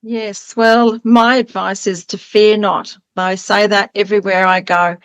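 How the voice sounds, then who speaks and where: A woman's voice: medium pitch, little pitch movement, unhurried, clean tone, no echo. A woman speaking conversationally as an interview guest, giving advice in a reassuring way.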